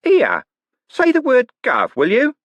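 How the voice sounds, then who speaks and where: A nosy, suspicious young stable hand, played by a male narrator in a voice pitched far above the reader's own, sharp and prying. He sounds ready to pick a fight. The recording is close and dry. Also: very animated, moderate pace, no echo, dull audio, exaggerated voice. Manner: suspicious, challenging.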